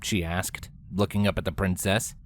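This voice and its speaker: A man's voice: low voice, little pitch movement, normal speed. A man reading scripted story narration as the narrator of a tale.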